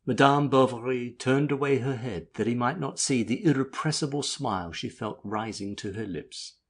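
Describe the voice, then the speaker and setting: A male narrator reads classic fiction in a measured, scripted storytelling manner. His voice is mid-pitched and husky, with a slightly rough edge. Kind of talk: scripted literary audiobook narration.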